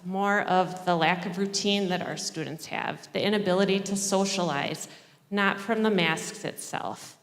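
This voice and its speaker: A mother reading a prepared statement at a school board public comment, earnest and measured. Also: on the low side. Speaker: parent speaking at public comment. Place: school board meeting hall. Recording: long reverb in a large hall.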